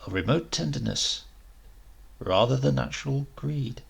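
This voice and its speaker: A man narrating a short story in a measured, expressive way, with deliberate pauses for drama. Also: mid-range voice, creaky, slightly rough. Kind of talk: dramatic scripted literary narration.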